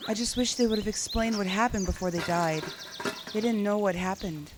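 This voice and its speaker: A woman's voice: medium pitch, steady tone, fairly quick, noisy background, close to an everyday voice. A woman playing a lonely, self-reliant apocalypse survivor in a radio play, thinking aloud in a reflective, plain-spoken way. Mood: reflective, weary. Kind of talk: radio drama monologue.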